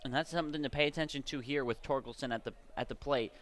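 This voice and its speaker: A man's voice: mid-range voice, fast, a bit bright. An American man giving chatty, explanatory live analysis as a baseball color commentator. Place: at a baseball stadium.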